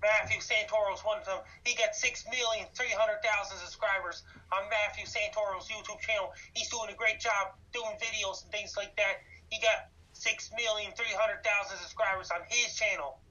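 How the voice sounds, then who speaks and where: A man in his thirties from Canada talks in an even, monotone way with a bright, forceful edge. He repeats facts and dates as he lists them. He comes through from a distance on a dull, muffled line. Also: high-pitched, normal speed. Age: 30s. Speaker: guest, YouTuber. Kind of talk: interview answers.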